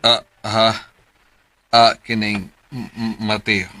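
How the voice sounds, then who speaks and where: A man's voice: fairly low pitch, flat delivery, takes it slowly, sharp tone. A man playing a courteous, probing middle-aged father in a radio drama, hesitant and stumbling between words. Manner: hesitant, full of ums and chuckles. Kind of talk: Filipino radio drama acting.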